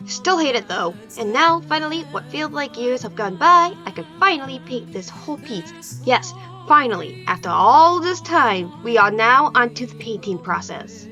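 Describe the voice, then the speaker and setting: A woman's voice: high-pitched, very animated, moderate pace, bright, forceful tone. A woman narrating her craft project in an informal, playful and self-deprecating voice-over, hesitant in places. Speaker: craft video creator. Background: background music.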